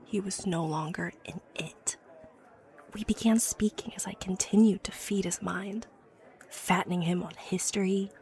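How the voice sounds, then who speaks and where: A woman's voice: medium pitch, darkish tone, close to an everyday voice. A woman playing an obsessive, haunted young inventor from a wealthy family in a horror audio drama, confiding hesitantly with phrases that drop to a whisper. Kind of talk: horror audio drama acting, confessional monologue. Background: background music.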